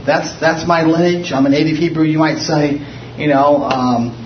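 A man's voice: fairly high pitch, steady tone, moderate pace, a little hiss or hum. Man leading a Bible study, distant echo. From United States. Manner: rambling, with frequent restarts and fillers. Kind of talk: informal Bible study teaching. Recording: muffled, roomy, distant mic.

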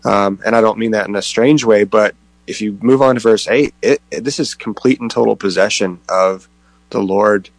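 A man in a group Bible discussion, speaking in a halting, reflective way and repeating words. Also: lowish voice, dry recording, rough recording. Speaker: Bible study participant.

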